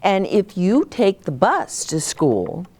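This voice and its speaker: A woman's voice: medium pitch, slightly rough. An older woman hosting a children's story time, speaking simply and expressively to young children as she shares stories and advice. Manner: simple, expressive, addressing children directly, with dramatic pauses.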